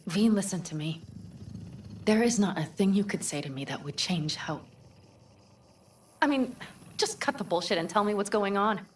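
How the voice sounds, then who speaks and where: A woman's voice: mid-range voice, expressive, normal speed, long pauses, slight background noise, lightly acted. A woman playing a blunt, loyal, caring young nomad in a video game. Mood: concerned. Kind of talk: video game dialogue acting.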